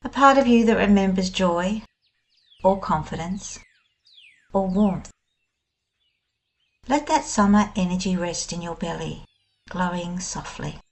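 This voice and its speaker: A woman guiding a scripted meditation in a gentle, soothing, unhurried manner. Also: on the low side. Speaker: meditation guide. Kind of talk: scripted guided meditation narration.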